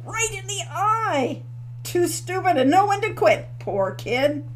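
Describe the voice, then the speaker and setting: A woman voicing a coarse older male stable master in an audiobook, loud and jeering. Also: very high voice, very animated, slow pace, a little hiss or hum, pitched above the performer's own voice, heavily acted.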